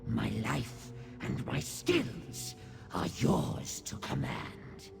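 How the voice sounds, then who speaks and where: A man voicing a small demonic rat servant in a video game, gravelly, grovelling and grandiose in a theatrical way. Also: medium pitch, huge pitch swings, extremely slow, music in the background, exaggerated voice. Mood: fawning, devoted. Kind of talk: video game character acting.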